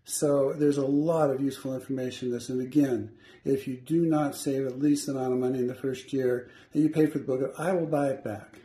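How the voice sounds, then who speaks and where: A man's voice: mid-range voice, fairly even intonation, a little fast, dark tone, husky, no echo. A man in his fifties, a travel vlogger, giving a plain, matter-of-fact narration. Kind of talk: casual campground tour narration. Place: moving vehicle. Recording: faint car noise behind.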